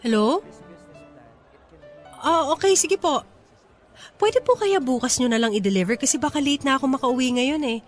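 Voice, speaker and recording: A woman's voice: high voice, animated, moderate pace, pauses often. A woman acting a carefree, exclamatory young spendthrift mother in a Tagalog radio drama, with a Filipino accent. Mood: cheerful, sheepish. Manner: exclamatory, breezy, Taglish slang. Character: carefree spendthrift young mother, breezy, giddy shopaholic friend. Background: music.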